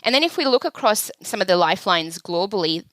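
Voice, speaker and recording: A woman's voice: mid-range voice, lively intonation, quick pace, fairly bright tone, dry recording. A woman from Sri Lanka in her thirties, answering interview questions in an earnest, articulate, explanatory way. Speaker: podcast guest, charity chief executive.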